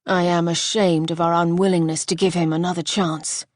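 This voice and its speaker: A woman voicing a gravely ill gentleman who speaks softly and tenderly in a lowered, hushed voice. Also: flat delivery, moderate pace, no room sound, studio quality, natural voice.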